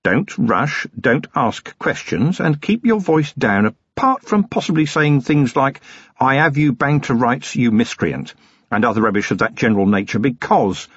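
A man voicing a gruff, exasperated senior police commander who speaks forcefully and bluntly in an audiobook story. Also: medium pitch, no room sound, highs cut off. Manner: forceful, sweary, lecturing.

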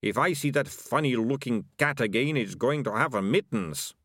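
Male narrator as fat bullying rat catcher, creaking voice, suspicious, menacing, colloquial, storybook, dry close sound. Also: medium pitch, animated, normal speed, a bit bright, no room sound. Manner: menacing, rough colloquial speech.

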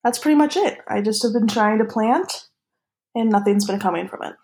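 A woman's voice: fairly high pitch, brisk, a little mellow. A young woman co-hosting a casual podcast, talking hesitantly with lots of fillers. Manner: hesitant, many "like"s and "um"s. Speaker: podcast co-host. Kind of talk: casual chat.

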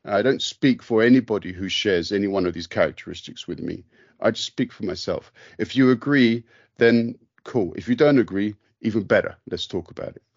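A man from the UK, talking thoughtfully on a podcast, pausing and restarting often. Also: on the low side, no echo, dull audio. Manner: thoughtful, hesitant, many restarts. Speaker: podcast host. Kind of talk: reflective conversation.

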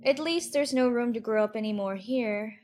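A woman's voice: a little high, moderate pace, a little mellow, natural voice. A woman voicing a curious, chatty young girl, musing primly to herself in an audiobook. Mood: wistful, musing. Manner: musing, prim, talking to herself. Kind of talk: audiobook character acting.

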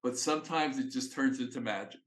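A man's voice: mid-range voice, a little fast, a bit bright. A man co-hosting a podcast, a photographer, talking casually with a laugh in his voice. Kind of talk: casual conversation.

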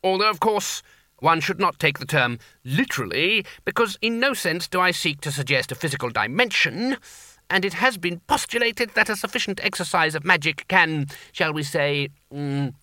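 A man's voice: fairly high pitch, lively intonation, moderate pace, bright, forceful tone, no room sound, voice pushed up. A man voicing a fussy, rambling wizard law lecturer who hesitates and stitches together fractured clauses. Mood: flustered. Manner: flustered, hesitant, wandering clauses with ums.

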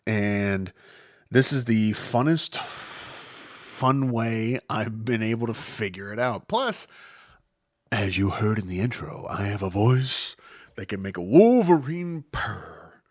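A man hosts his own podcast alone, rambling loosely through the news with plenty of swearing and self-mockery. His pitch swings widely and keeps things animated. The sound is dry and close, with no room around it. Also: no room sound. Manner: rambling, profane, self-mocking, jumps between topics. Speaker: podcast host. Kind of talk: solo casual news podcast.

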